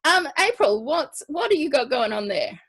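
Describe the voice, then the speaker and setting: A woman hosting a casual group video chat, bantering playfully with a husky voice. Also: sits high, big pitch swings, normal speed, fairly bright tone. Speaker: host of an online variety show. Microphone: video call. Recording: video call audio.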